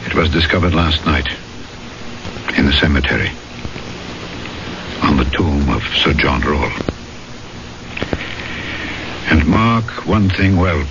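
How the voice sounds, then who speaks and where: An actor playing a grave, troubled official in a radio drama, speaking in a measured, brooding way with dramatic pauses. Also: male voice, very deep voice, expressive, heavily acted. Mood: grim. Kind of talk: 1970s radio drama acting. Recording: noisy, dull old radio recording.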